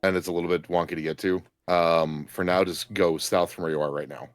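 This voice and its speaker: A man's voice: low voice, fairly even intonation, brisk, no echo. A man casually guiding a fellow streamer through a video game, explaining things a little hesitantly. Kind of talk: casual gameplay guidance chat. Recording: music in the background. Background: game music.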